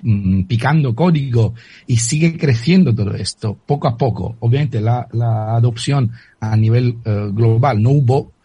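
Hesitant Italian man, husky dark voice. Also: mid-range voice, measured pace, dry recording, dull audio. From Italy. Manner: hesitant, repeats words while searching for terms. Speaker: guest. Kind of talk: radio interview answer.

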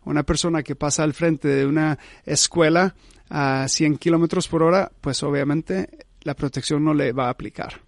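Man from Costa Rica, radio interview guest, raised pitch, dry close sound, filler-heavy agreeable speech. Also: steady tone, moderate pace, vocal fry, no echo. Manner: agreeable, repeats "correcto", many filler "eh"s. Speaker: startup founder.

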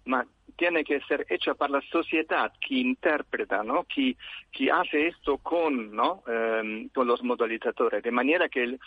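A man with an Italian accent, a guest researcher speaking Spanish as a second language, who talks haltingly with many fillers and restarts. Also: mid-range voice, breathy edge, no room sound. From Italy. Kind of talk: phone interview. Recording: tinny phone line.

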